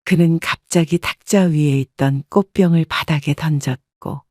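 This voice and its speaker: Casting: woman narrator, calm scripted storytelling, dry close sound. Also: low voice, normal speed, dry recording.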